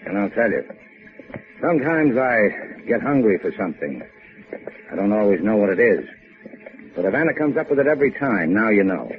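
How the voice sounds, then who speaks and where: An actor playing a tough, world-weary boat owner in a 1950s radio drama, talking in a clipped, hard-boiled way. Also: male voice, fairly low pitch, very animated, fairly quick, long pauses. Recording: narrow-band old 1950s radio recording.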